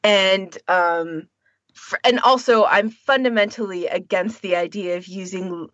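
A woman's voice: mid-range voice, measured pace, a bit bright, dull audio. A woman co-hosting a podcast, talking casually and informally with firm opinions of her own. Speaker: podcast co-host.

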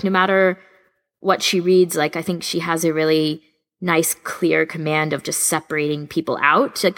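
Creaky, low-voiced woman chatting casually. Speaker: podcast co-host. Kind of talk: casual chat, recommending audio fan fiction.

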